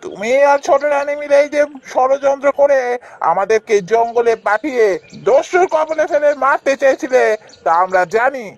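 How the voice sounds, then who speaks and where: Woman as a high-voiced stern royal elder. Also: moderate pace, highs cut off, lightly acted. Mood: stern, accusing. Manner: commanding, accusing.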